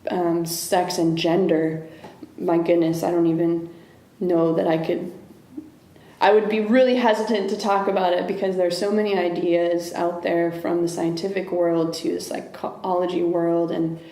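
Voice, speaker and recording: Low, gravelly woman, thoughtful. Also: flat delivery, a little slow, warm tone. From United States. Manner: thoughtful, searching for words, many restarts. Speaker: guest. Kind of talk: reflective interview conversation. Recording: echoey room.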